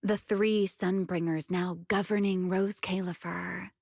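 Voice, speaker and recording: A woman's voice: on the low side, fairly even intonation, creaky, darkish tone, dry recording, clean studio sound. A woman playing a teasing, secretive, long-lived huntress in a video game, coy and poised. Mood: amused, cryptic. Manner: coy, playful, unhurried teasing. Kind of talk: video game story voice acting.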